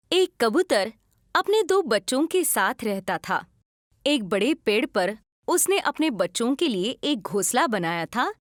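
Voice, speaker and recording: Lady reading a kids story, really slow, lively pitch, close dry sound. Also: dry recording. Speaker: narrator. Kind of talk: scripted children's storytelling.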